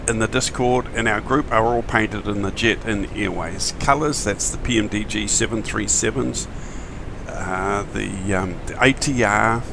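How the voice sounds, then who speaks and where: Croaky New man streaming flight sim. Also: lowish voice, background noise. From New Zealand. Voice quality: gravelly. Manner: chatty, friendly, many fillers and restarts. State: croaky morning voice. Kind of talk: casual live-stream chat.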